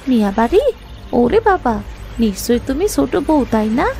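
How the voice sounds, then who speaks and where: A woman's voice: a little high, lively intonation, moderate pace, creaky, dark tone, slight background noise. A woman voicing a nosy, suspicious village neighbour in a cartoon, chatty and exclaiming. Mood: prying, shocked. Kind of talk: cartoon moral story voice acting.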